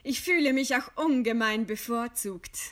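An actress playing a proud, scornful woman writer facing execution in a radio play, with a deliberate, ironic delivery. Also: on the high side, a bit bright, lightly acted. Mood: dry resignation. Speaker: radio drama actor. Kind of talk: German radio play acting.

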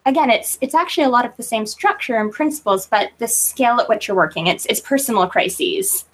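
Animated, high-pitched woman with a husky edge. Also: a little fast. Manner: animated, informal, self-deprecating. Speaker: guest author being interviewed. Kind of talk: casual interview chat.

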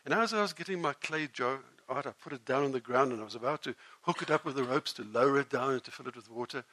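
A man voicing a proud, sharp-tongued Samaritan woman in a dramatic first-person monologue, told in an animated, theatrical way. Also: medium pitch, quick pace. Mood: wry.

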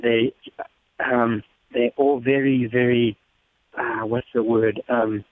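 A man's voice: mid-range voice, little pitch movement, slow pace, no echo. South African man on a muffled line. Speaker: motorcycle race team member and interview guest. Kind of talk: casual interview storytelling. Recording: muffled narrow-band phone or radio sound.

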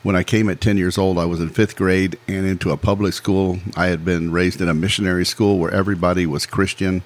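A very deep-voiced man in his sixties, from Peru, recounts his life as a podcast guest. His delivery is measured and plainspoken, and he lays events out in order. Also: flat delivery. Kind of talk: casual interview storytelling.

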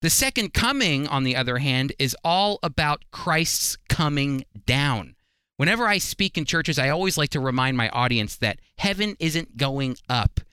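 Bright, clear-voiced man teaching the Bible. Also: on the high side, dry recording, studio quality. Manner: emphatic, rhetorical questions to listeners. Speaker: Bible teacher and program host.

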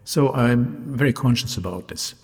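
A man who is a non-native English speaker hosts a solo podcast, articulating carefully and deliberately in a slightly raspy voice. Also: mid-range voice. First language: not English. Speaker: podcast host, hotelier. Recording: reverberant hall-like sound, music behind.

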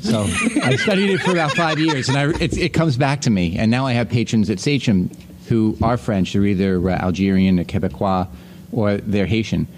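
A man's voice: lowish voice, normal speed, noisy background. A middle-aged man hosting a casual panel conversation, speaking in a chatty, rambling, anecdotal way. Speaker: podcast host.

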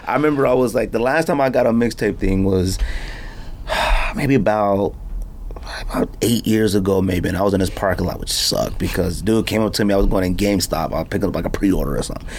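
A man chatting casually and animatedly in slangy, informal talk, quick to laugh. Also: lowish voice, darkish tone, a little hiss or hum. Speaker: podcast host interviewing a guest. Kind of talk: casual chat, slangy storytelling.

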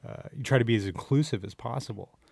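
A man's voice: medium pitch, quick pace, gravelly, a little mellow, husky, dry recording. A man co-hosts a podcast, chatting casually and asking questions in a jokey, rambling way. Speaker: podcast co-host. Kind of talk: casual interview chat.

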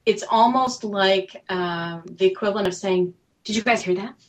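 A woman speaking thoughtfully and in a measured way as a writer on a panel. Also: mid-range voice, low-quality recording. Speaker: audio fiction writer, panel guest.

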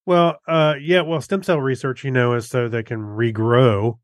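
A man co-hosting a podcast, chatting casually and musing out loud in a conversational way. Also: medium pitch, steady tone, moderate pace, no room sound, clean studio sound. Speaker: podcast co-host. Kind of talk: casual chat.

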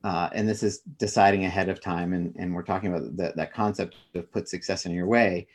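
A man's voice: deep voice, steady tone, fairly quick. A middle-aged man speaking as an interview guest, explaining his thinking in a reflective, conversational way. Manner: reflective, explains through personal examples.

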